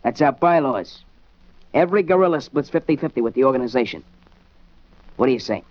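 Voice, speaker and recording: A man's voice: medium pitch, animated, fairly quick, dry recording, natural voice. An actor playing a cocky teenage boy who leads a street gang, talking tough slang in 1940s radio style. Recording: dull 1940s radio recording.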